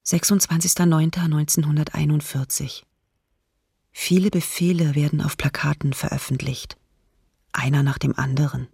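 Female diarist: very raspy, creaky, hushed, uneasy documentary drama reading, dry sound. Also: sits low, no room sound, full character voice. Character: anxious diarist observing an occupied city. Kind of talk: documentary radio play, diary reading.

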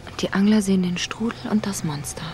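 A woman's voice: medium pitch, fairly quick, darkish tone, faint music behind, close to an everyday voice. An actress playing a sleuth's wry, sceptical woman companion in a German radio crime drama, with a dry, teasing manner. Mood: wry, curious.

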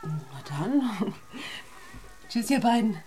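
A woman's voice: medium pitch, big pitch swings, extremely slow, music in the background. A woman playing a busy, fretting mother of young children in a radio drama, with an everyday, fretful manner. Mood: relieved. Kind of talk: German radio drama acting.

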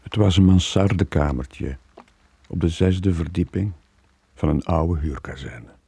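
An actor playing a weary, guilt-ridden middle-aged sailor with a Flemish accent, in a brooding radio drama style with a husky, breathy voice. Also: male voice, low voice, dark tone, full character voice. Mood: somber recollection. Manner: brooding, halting confession. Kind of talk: 1980s Dutch-language radio drama acting.

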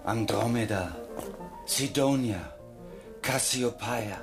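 An actor playing a quiet, dreamy, contemplative man in a literary radio drama, speaking in a measured way. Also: medium pitch, over music. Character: quiet, dreamy man slowly listing night sounds and stars.

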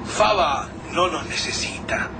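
Lucas, anxious high-pitched Argentine man. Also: slow. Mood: fearful, distrustful. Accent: Rioplatense Argentine Spanish. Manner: colloquial, urgent. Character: Lucas, anxious distrustful man surviving a deadly snowfall. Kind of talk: radio drama acting. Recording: noisy, distant from the mic.

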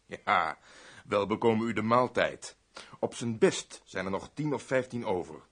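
An actor playing a wordy, servile older steward of a noble house in a radio play, with a husky voice. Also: male voice, fairly low pitch, animated, a little slow, a bit bright. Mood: aggrieved, boastful. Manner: wordy, long-winded, obsequious.

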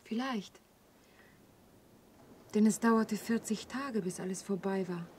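A woman's voice: medium pitch, slow pace, darkish tone, close to an everyday voice. An actress playing a wistful, vulnerable young woman in a German radio play, hesitant and pensive in her delivery. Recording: clearly audible background ambience. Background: ambience noise.

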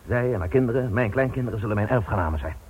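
A rich older village patriarch in a radio play, imperious and guarded. His voice is very husky and he talks fast, justifying himself with haughty authority. The sound is dull and lo-fi. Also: fairly low pitch, lively intonation. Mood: confessing, defensive. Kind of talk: Dutch radio drama acting. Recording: dull lo-fi radio drama recording.

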